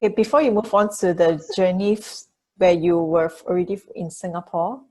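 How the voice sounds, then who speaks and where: A woman's voice: fairly low pitch, brisk, dark tone, rough recording. A woman hosting a podcast, presenting and interviewing in a conversational way. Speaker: podcast host. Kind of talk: scripted intro and conversational interview.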